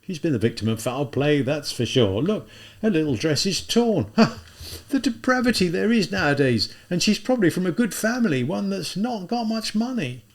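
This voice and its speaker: A man's voice: high voice, sing-song, moderate pace, pitched above the performer's own voice. A man doing a fussy, pitying old policeman. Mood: fretful pity. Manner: fretful, clucking pity.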